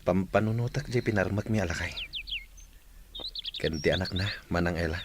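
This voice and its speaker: Casting: actor for resolute, heavy-hearted young villager, radio play; deep-leaning voice over noisy bird-filled ambience. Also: male voice, moderate pace, natural voice. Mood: brooding, guilty. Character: brooding, resolute young village man with guilty conscience. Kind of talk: Ilocano radio drama acting. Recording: noisy track with birdsong.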